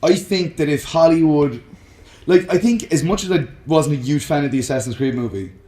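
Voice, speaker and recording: A man's voice: fairly high pitch, a little fast, warm tone, echoey room. A man co-hosting a casual, jokey banter show about movies, often chuckling as he talks. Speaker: co-host of a film discussion show.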